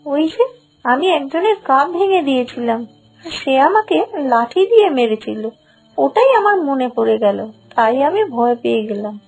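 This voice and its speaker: A woman voicing a meek, anxious young bride in an animated fairy tale, apologetic and plaintive. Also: high-pitched, moderate pace, vocal fry, husky, soft background music, heavily acted, poor audio. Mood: timid.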